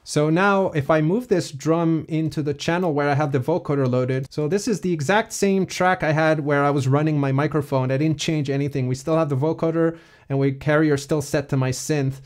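A man giving a casual, explanatory software walkthrough as a music production tutorial presenter. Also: fairly high pitch, fairly even intonation, a little fast.

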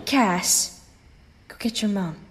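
A woman's voice: medium pitch, takes it slowly, loud music behind the voice, lightly acted. A woman playing a stern, darkly matter-of-fact grandmother in an audio drama, speaking with dry, threatening politeness.

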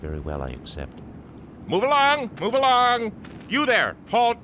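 Officious, animated customs guard. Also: male voice, extremely high pitch, unhurried, exaggerated voice. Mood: officious, stern. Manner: officious, barking. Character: officious, stern male imperial customs guard. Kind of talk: audio drama acting. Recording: noisy docking bay ambience.

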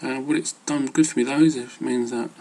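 A man's voice: mid-range voice, fairly even intonation, fairly quick, dark tone. A man giving casual, rambling advice as an amateur fitness vlogger, hesitant and loosely worded.

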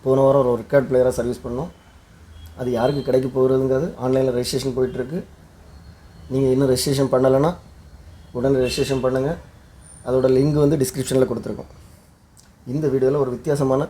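A man's voice: medium pitch, level tone, fast, long pauses, dark tone. A man with an Indian Tamil accent hosting and explaining in a vlog style. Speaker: host.

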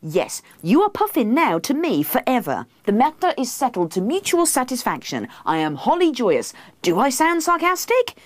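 A woman's voice: fairly low pitch, sing-song, a little slow, mellow, no echo. A woman voicing a sleepy, exasperated husband who grunts and answers reluctantly in a comic book reading. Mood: sarcastic. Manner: grunting, despairing, reluctant replies.

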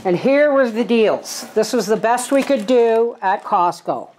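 A woman's voice: fairly high pitch, expressive, measured pace, darkish tone, slight background noise, low-quality recording. An older woman, giving a casual, plain-spoken, folksy vlog narration in her home kitchen. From Canada. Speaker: homesteading vlogger and host.

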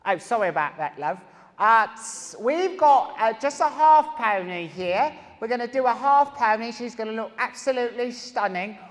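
A man's voice: very high-pitched, lively intonation, moderate pace. A male comedian voicing a chatty woman hairstylist who keeps calling people love, on stage in a large hall. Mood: chirpy. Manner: fussy, calls everyone love. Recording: stand-up in a big hall, mic.

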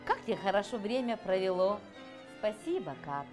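A woman's voice: medium pitch, sing-song, moderate pace, music in the background, close to an everyday voice. A woman voicing a cheerful, generous fairy-tale sun with affectionate exclamations. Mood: sleepy, grateful. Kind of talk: children's storybook reading.

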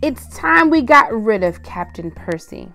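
A woman's voice: on the high side, sing-song, unhurried, bright, forceful tone, soft background music, exaggerated voice. A woman voicing a crew of lazy pirates crying out in a storybook reading. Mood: dismayed. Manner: crying out together.

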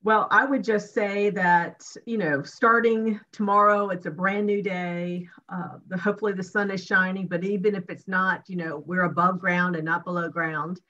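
A middle-aged woman speaks on a video-call panel in a bright, sharp voice. She is upbeat, cites figures and laughs quickly. Her audio comes through dull and lo-fi. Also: lowish voice, fairly even intonation. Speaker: panel guest. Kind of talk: casual panel discussion. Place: home room with windows and bookshelf. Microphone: video call webcam.

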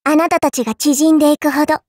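A dainty little game-character girl played by a woman speaks in an extremely high, cutesy voice. Her words are prim and polite, yet laced with teasing condescension and a cruel streak. The sound is close and dry. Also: steady tone, fast, darkish tone, no room sound, lightly acted. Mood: menacing, playful. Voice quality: childlike, cutesy. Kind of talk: Japanese mobile game character voice lines.

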